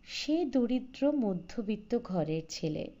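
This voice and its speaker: A woman's voice: on the high side, sing-song, slow, mellow, lo-fi sound. A woman reading a literary short story as an audiobook narrator, in a scripted narration style.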